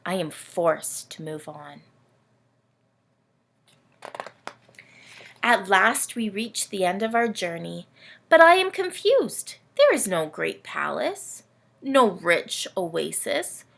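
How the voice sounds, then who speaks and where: A woman plays a vain, melodramatic storybook camel who feels very sorry for himself. The voice is bright but heavily creaky, and every phrase is drawn out slowly and theatrically. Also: medium pitch, very animated, exaggerated voice. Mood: weary, confused. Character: self-pitying, melodramatic, vain male camel of the Nativity.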